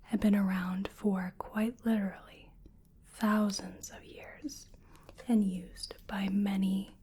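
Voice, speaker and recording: An American woman doing a soft-spoken ASMR roleplay as a wellness practitioner, whispering gently and soothingly in a breathy voice. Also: medium pitch, little pitch movement. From US Midwest. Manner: gentle, soothing personal attention, unhurried with pauses. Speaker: ASMR creator playing a wellness treatment practitioner.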